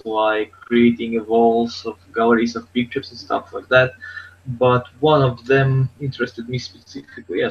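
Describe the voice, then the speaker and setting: A man co-hosting a casual tech podcast over an online call, talking in loose, repetitive phrases. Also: medium pitch. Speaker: podcast co-host. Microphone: online video hangout. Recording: dull lo-fi online call, faint noise.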